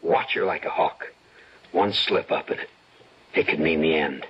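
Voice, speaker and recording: An actor playing a grave, controlling schemer in an old-time radio suspense drama, with a rough, husky voice. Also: male voice, extremely low pitch, normal speed. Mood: conspiratorial. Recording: muffled radio drama recording.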